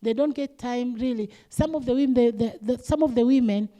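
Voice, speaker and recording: A woman's voice: fairly high pitch, a little fast, warm tone. Hesitant woman panelist from Tanzania. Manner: hesitant, repeats words. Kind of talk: panel discussion remarks.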